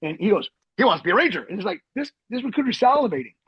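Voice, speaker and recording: A middle-aged man, an Army veteran, telling long rambling stories in an animated way as a podcast guest. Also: sits high, rapid-fire, husky, no room sound, muffled recording. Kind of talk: storytelling interview.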